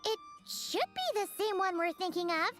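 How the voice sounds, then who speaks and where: A woman voicing a tiny childlike fairy companion in an anime-style game, squeaky, chirpy and cheeky. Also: very animated, moderate pace, fairly bright tone, soft background music, heavily acted. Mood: cheeky, curious.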